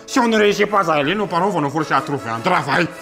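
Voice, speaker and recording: A man's voice: fairly high pitch, animated, racing, music in the background. A man playing an eager sidekick with a Malagasy French accent. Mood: pleading. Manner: pleading, eager. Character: young man, Obélix-loving sidekick.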